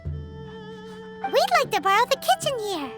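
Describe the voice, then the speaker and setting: Tiny cheeky fairy, extremely high squeaky voice, bouncy anime game delivery, loud music behind. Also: female voice, sing-song, slow pace, exaggerated voice. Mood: helpful. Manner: refers to self in third person. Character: small childlike flying fairy companion, chirpy and cheeky.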